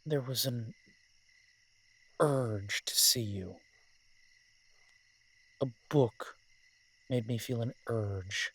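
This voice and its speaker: A man playing a lovesick, wry mafia boss who rambles haltingly in an intimate audio drama voice message. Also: medium pitch, slightly rough. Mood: wistful, tender. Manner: halting, rambling, drawn-out pauses, occasionally swearing.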